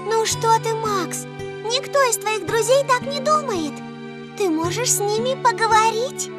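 Caring young female robot, very high, animated delivery, reassuring, for a children's cartoon. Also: a little slow. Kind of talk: Russian cartoon dub. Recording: music behind.

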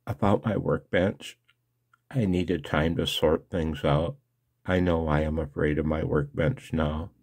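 Slow, deep, gravelly older man. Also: fairly even intonation, darkish tone. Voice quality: gravelly, husky. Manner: halting, emotional, confessional. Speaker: amateur radio video blogger. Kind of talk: personal screen-share vlog, casual monologue.

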